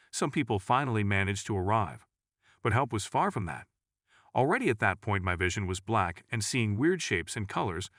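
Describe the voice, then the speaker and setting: A male storyteller narrates a scripted first-person account. His voice sits fairly low in pitch. The recording is dry, with no audible room around the voice. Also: fairly bright tone, no room sound.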